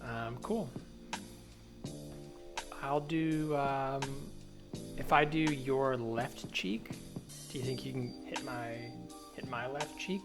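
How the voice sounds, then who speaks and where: Casting: male improviser as earnest, awkward churchgoing guy, tentative delivery, middling pitch, soft music bed. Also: fairly even intonation, natural voice. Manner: halting, tentative. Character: awkward, earnest churchgoing man at face-painting meetup. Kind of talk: improvised audio comedy.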